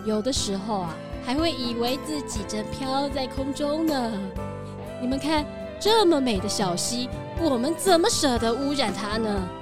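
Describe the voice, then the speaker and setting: A friendly female goat running a cookie factory, slipping a bleat into her talk, voiced by a woman. Her voice sits a touch high, with children's music playing underneath. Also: expressive, moderate pace. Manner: bleats now and then, proud and friendly. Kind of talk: children's radio drama. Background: background music.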